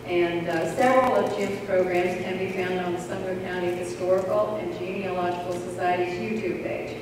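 A woman's voice: fairly low pitch, fairly even intonation, moderate pace. An older woman, vice president of a local society, reading an earnest introduction from her notes. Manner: reads from notes, plain and earnest. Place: large school auditorium. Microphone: handheld mic, recorded from a far camera. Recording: echoey hall from far off, audible noise.

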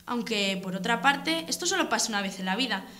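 A woman's voice: fairly high pitch, lively intonation, a little fast, over music, natural voice. A woman playing an indignant, melodramatic teenage schoolgirl in a radio serial, with an accent from Spain. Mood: excited. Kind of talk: Spanish radio serial acting. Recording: echoey room with background noise.

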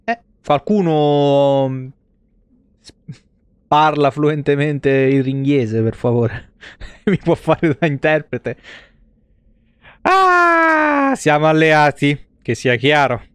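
A man's voice: a little high, expressive, slow, long pauses, a bit bright, clear, resonant tone, no room sound. A man, around his thirties, giving casual, joking live commentary on a game and swearing freely. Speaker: game streamer. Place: indoor home streaming setup.

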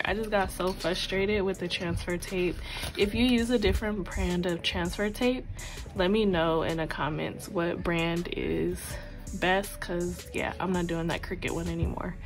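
A woman's voice: lowish voice, fairly even intonation, normal speed, music in the background. A woman with a sore throat, casually chatting as she counts cash aloud for her budgeting videos at home. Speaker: budgeting channel host. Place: tabletop at home. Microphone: overhead camera, hands only.

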